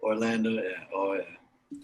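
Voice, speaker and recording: A man's voice: fairly low pitch, fairly even intonation, unhurried, a little hiss or hum, poor audio. A man chatting casually as a podcast guest in a self-deprecating way. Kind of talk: casual chat. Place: remote group call.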